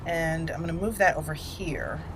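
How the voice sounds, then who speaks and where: A woman's voice: low voice, moderate pace, background noise. A woman giving casual let's play commentary, chatty and thinking aloud as she plays. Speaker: gamer narrating a video game roleplay playthrough. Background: game music behind the voice.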